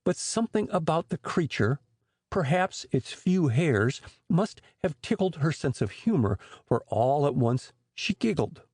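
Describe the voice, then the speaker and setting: A man with a husky voice narrating a scripted story as an expressive audiobook storyteller. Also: on the high side, measured pace, dry recording, clean studio sound.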